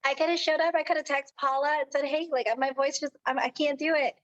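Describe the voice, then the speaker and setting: A woman guest speaker who is losing her voice and squeaks a little, giving an excited, informal motivational talk on an online team call. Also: quick pace. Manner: excited, peppy, cheerleading. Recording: dull, lo-fi call audio.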